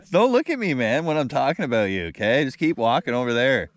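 A man's voice: medium pitch, sing-song, moderate pace, no echo. A man hosting a vlog outdoors on a frozen lake, chatting casually, teasing his friend and laughing often. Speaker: vlog host.

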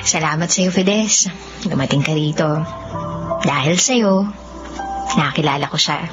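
An actress playing an affectionate young woman in love in a Filipino radio romance drama, speaking softly. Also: low voice, a little slow, over music. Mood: happy, grateful. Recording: slightly muffled audio.

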